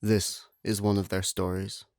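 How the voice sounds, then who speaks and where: A Scottish man acting as the wry, deliberate narrator of a comic sci-fi radio play, deadpan and mock-grand. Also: sits low, no room sound, studio quality. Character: wry, measured storyteller of a comic space adventure. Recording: music behind the voice.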